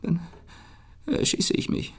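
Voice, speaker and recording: An actor playing a wealthy, agitated man in a German radio crime drama, speaking vehemently and haltingly in a husky voice that can turn quavering. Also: close to an everyday voice. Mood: tense, dramatic. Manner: vehement, halting, repeating words for emphasis. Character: wealthy, agitated man haunted by a suicide dream.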